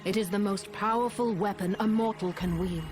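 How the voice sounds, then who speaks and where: An actress playing a stately, commanding goddess in a video game, speaking in a grave, formal and deliberate way. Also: female voice, medium pitch, fairly even intonation, music in the background, natural voice. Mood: grave, guiding. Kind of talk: video game cutscene acting.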